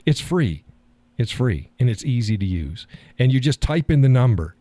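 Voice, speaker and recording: A man hosting a live call-in stream, talking in a calm, easygoing way and chuckling often. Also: mid-range voice, normal speed, dry recording. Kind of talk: casual chat.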